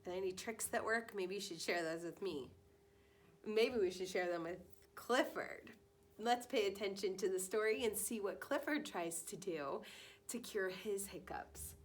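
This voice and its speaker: A woman kindergarten teacher talking to young children during a read-aloud, expressive and full of direct questions. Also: medium pitch, normal speed, a little hiss or hum.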